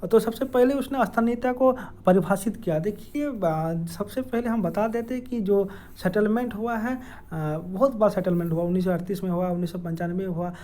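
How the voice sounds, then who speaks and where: A man with a Jharkhand accent giving an informal explainer in a halting, hesitant way, with many filled pauses and repeated words. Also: sits high, warm tone. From Dumka district, Jharkhand, India. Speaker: educational video creator. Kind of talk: informal explainer with screen walkthrough.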